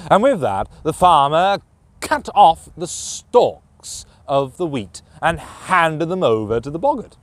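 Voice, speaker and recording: A man narrating a children's fairytale as a storyteller, with theatrical, deliberate phrasing. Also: fairly high pitch, very animated, no room sound.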